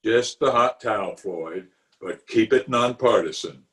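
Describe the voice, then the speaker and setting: A man's voice: on the low side, a bit bright. A man playing a pompous, blustering middle-aged uncle in an old-time radio comedy, drawing his words out indignantly. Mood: weary. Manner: pompous, indignant, drawn-out delivery. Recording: remote home recording over video call.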